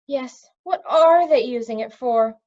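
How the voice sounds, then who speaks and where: Woman reading as an impatient, high-voiced young heroine. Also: measured pace, mellow, dull audio. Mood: questioning.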